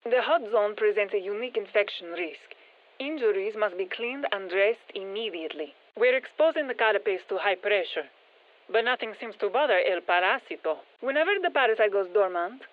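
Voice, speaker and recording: An actress playing a brisk, sharp-minded scientist in a video game, matter-of-fact and technical, with a Spanish accent and a processed voice. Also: female voice, medium pitch, narrow, tinny audio. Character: brisk, sharp-minded female scientist studying an alien parasite. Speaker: scientist and engineer briefing operators. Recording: thin band-limited radio, some long hall reverb.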